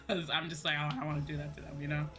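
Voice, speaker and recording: A young American woman chats casually on a livestream in a fairly low-pitched voice. She is animated and sweary, slipping into exasperated quoted exchanges as she talks. Music plays clearly behind her. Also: quick pace. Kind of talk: casual chat while gaming on stream. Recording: background music under the voice.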